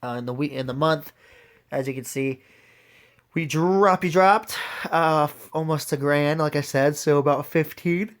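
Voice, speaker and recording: A man giving a casual, unscripted video walkthrough, his voice raspy as it recovers from being lost, speaking informally straight to viewers. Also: on the high side, a little slow. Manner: informal, addresses viewers directly. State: recovering from a lost voice, occasional throat clearing. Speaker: host of an investing video series.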